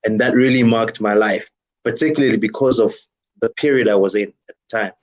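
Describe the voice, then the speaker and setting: A man from DR Congo whose first language is French speaks with a fairly low voice. He answers reflectively as an interview guest, often circling back with "you know" and small restarts. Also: brisk, muffled recording. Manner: thoughtful, many "you know" fillers and restarts. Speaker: guest musician and worship leader.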